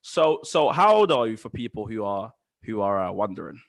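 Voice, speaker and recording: A man's voice: on the low side, big pitch swings, normal speed, creaky. A man hosting a podcast with eager enthusiasm, repeating words and restarting his sentences as he talks. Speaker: podcast host.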